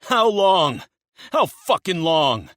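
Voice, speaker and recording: A man's voice: low-pitched, measured pace, a bit bright, dry recording, studio quality. A man voicing an enraged male biker who is protective of his sister. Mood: furious. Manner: raging, snarling. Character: furious male biker, protective older brother.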